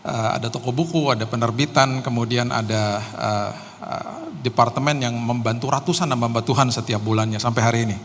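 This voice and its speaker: A man's voice: medium pitch, fairly even intonation, fairly quick. A middle-aged man preaches as a guest pastor in a conversational, storytelling way with self-deprecating humour. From Jakarta, Indonesia. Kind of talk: sermon with casual personal storytelling. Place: church hall during live service. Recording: reverberant hall, faint background noise.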